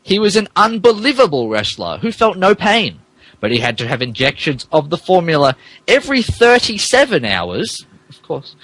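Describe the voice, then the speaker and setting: A man's voice: on the high side, sing-song, moderate pace, a bit bright, no room sound, low-quality recording. A man reading fan fiction aloud as a podcast host, in mock-dramatic narration broken by amused asides. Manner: theatrical, breaks character to comment.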